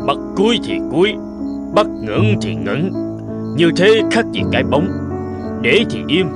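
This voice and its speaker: A man voicing a wise, humble old male court minister who speaks slowly and deferentially. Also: a little high, very animated, loud music behind the voice, pitched above the performer's own voice. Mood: measured. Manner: soft, deferential, slow and measured. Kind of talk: cartoon voice acting.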